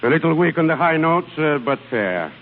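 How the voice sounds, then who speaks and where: An actor playing an exacting, sardonic European singing teacher in theatrical 1930s radio style, dry and critical. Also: male voice, a little high, big pitch swings, moderate pace. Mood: dry, measured. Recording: tinny, echoing, noisy 1930s radio recording.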